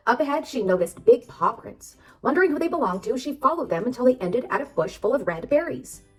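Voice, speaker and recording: A woman reading a children's storybook aloud as narrator, expressive and addressing young listeners. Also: high voice, brisk, recorded from a distance. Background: background music.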